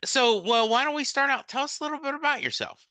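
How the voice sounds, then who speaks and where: A man hosting a podcast, upbeat and enthusiastic, reacting warmly to his guest. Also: very high-pitched, quick pace. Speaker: podcast host. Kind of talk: casual interview.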